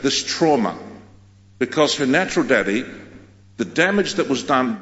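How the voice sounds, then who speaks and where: Animated preacher in an echoey hall. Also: male voice, mid-range voice. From Australia. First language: Greek. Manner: emphatic preaching with repeated phrases. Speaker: pastor. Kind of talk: sermon. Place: large church hall. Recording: echoey hall, dull sound.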